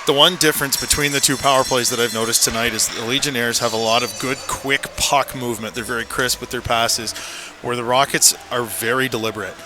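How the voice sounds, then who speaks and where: A man giving measured, opinionated color commentary on a live radio hockey broadcast. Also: medium pitch, steady tone, music in the background. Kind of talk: live radio sports analysis. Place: indoor hockey arena. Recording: noisy live arena broadcast. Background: loud rink ambience.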